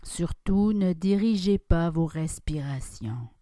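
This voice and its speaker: Very slow, mellow woman guiding meditation. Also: on the low side, no room sound. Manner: calm, soft instructions with long pauses. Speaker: yoga nidra guide. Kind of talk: guided relaxation meditation.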